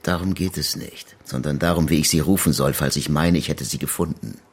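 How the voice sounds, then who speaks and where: A man's voice: a bass voice, fairly quick, husky, full character voice. An actor playing a blunt, stubborn police detective in a German radio crime drama, terse and dry in his probing. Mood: dry, insistent. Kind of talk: German radio play acting.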